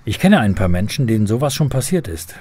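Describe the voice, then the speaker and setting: A man's voice: fairly low pitch, very animated, fast talker, warm tone, slightly rough, dry recording. A man playing an earnest believer who patiently explains faith to children in a scripted radio drama.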